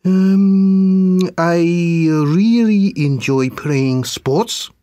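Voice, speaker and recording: Very slow, halting man from Japan. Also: sits high, mellow, dry recording, clean studio sound. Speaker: exam candidate. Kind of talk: scripted exam answers.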